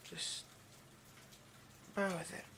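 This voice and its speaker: A man playing a possessive, condescending rich vampire in an intimate ASMR roleplay, domineering and hushed, often slipping into a whisper. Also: extremely slow, noisy background. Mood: hungry, fascinated.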